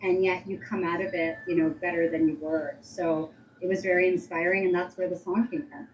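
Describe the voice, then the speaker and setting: Dull lo-fi connection, faint noise, woman, medium pitch, singer-songwriter guest, casual conversational talk. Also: fairly even intonation, brisk. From Lebanon. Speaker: singer-songwriter being interviewed. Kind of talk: casual interview chat.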